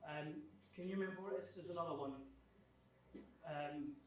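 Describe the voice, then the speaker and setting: A man giving an informal technical presentation, explaining things in a halting, thinking-aloud way as he answers questions. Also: a little high. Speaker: guest speaker giving a technical club talk. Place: club meeting room, live talk. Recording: echoey room, distant microphone.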